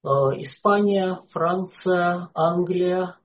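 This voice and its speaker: A lecturer giving an unscripted talk, hesitating often and filling pauses with drawn-out "uh" sounds. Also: mid-range voice, flat delivery. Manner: hesitant, frequent "uh" fillers. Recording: dull lo-fi recording.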